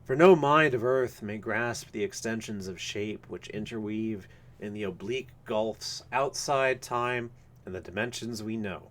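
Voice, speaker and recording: A man reading a literary horror story aloud live, with measured, formal and dramatic phrasing. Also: fairly low pitch, fairly bright tone. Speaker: reader of a story.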